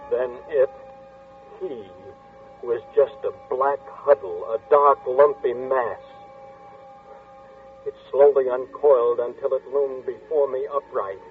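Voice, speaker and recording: A man playing a frightened man, telling his nightmare in a tense, halting first-person radio narration. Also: mid-range voice, fairly even intonation, close to an everyday voice. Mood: fearful. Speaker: lead actor narrating as the dreamer. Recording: tinny 1940s radio broadcast, faint music.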